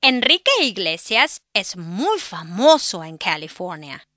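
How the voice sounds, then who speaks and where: Guy doing a polite teenage girl, high soft falsetto, halting. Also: big pitch swings, no echo, studio quality, full character voice. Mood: gentle. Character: polite fifteen-year-old girl visiting family.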